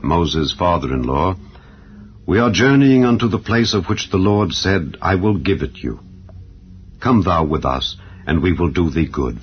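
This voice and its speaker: A man reads scripture as a dramatized narration, with a solemn, measured delivery. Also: deep voice. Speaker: Bible narrator. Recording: dull, band-limited audio with background music. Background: background music.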